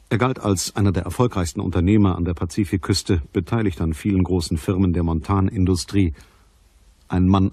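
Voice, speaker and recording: A persistent private eye in a radio crime drama. His voice is low and husky, and he narrates and questions in a courteous, measured, matter-of-fact way. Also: dark tone. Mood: dry, narrating. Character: male private detective, businesslike, courteous, persistent. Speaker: narrator and lead actor.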